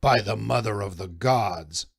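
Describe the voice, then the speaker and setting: A man voicing a gruff, snarling sailor first mate. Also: lowish voice, measured pace, no echo, clean studio sound, full character voice. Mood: alarmed. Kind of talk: audiobook character voice.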